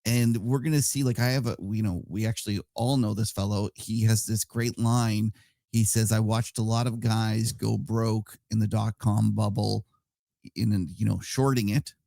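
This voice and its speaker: Brief: male podcast co-host, gravelly and mellow, dry close sound, measured delivery. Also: on the low side, fairly even intonation, no echo. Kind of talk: casual podcast chat.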